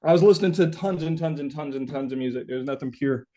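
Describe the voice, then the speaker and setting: A man with a fairly high voice talks casually as an interviewed musician. He thinks aloud, stopping and starting as he works toward his point, and leans often on the word "like". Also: warm tone. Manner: halting, thinking aloud, frequent "like".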